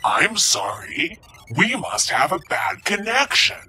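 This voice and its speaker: A shadowy spymaster with a synthesized, processed voice in a radio-style drama. He speaks slowly with a bright, sharp tone and lively swings in pitch. Faint music runs underneath. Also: heavily acted. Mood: dry menace. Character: secretive male handler with synthesized voice. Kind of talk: audio drama acting.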